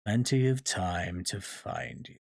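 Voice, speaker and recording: A man's voice: low-pitched, no echo, full character voice. Guy doing an alien overlord bad guy from a storybook, drawn out n smug, dry close sound. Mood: gloating, menacing. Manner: slow, drawn-out, taunting. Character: gloating, menacing alien warlord villain, coolly mocking.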